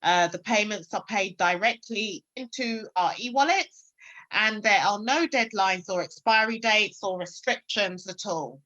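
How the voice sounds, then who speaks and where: A woman's voice: medium pitch, measured pace, sharp tone, lo-fi sound. A woman giving an online sales presentation, hesitant and full of filler sounds, often checking in with "okay?". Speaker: webinar presenter.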